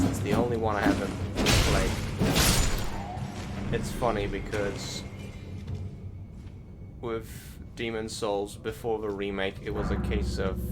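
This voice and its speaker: A man doing a casual gameplay commentary in a halting, self-correcting and sometimes sweary way. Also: lowish voice. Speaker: video game let's play host. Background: loud game music.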